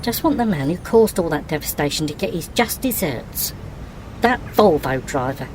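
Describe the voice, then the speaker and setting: A woman's voice: low voice, animated, moderate pace, noisy background. An actress playing an earnest, ominous woman, bitter and haunted, in an audio drama. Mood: bitter, foreboding. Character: Evelyn, bitter, brooding woman haunted by fatal crash.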